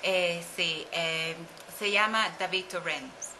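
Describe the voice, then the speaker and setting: A woman in her forties from Cuba with a Cuban Spanish accent, answering interview questions slowly with many pauses, recorded on a webcam in a small office. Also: fairly low pitch, bright, forceful tone, a little hiss or hum. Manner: hesitant, thinks aloud with fillers. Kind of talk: slow, careful conversational answers. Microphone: webcam at desk.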